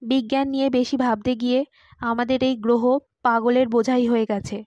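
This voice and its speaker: A woman voicing a calm alien robot envoy in a measured, matter-of-fact way for an audiobook. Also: fairly high pitch, no room sound, dull audio, natural voice. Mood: explaining.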